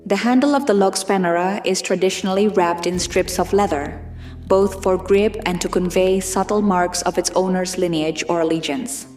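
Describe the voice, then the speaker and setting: A woman narrator giving a measured, formal scripted reading of fantasy lore, in documentary style. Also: on the low side, a little mellow, roomy sound, faint music behind.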